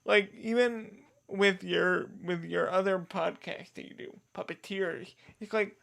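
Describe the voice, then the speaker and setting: Slow-talking man with a creaky voice. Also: sits high, fairly even intonation, a bit bright. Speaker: podcast guest, pop culture podcaster. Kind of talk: casual chat.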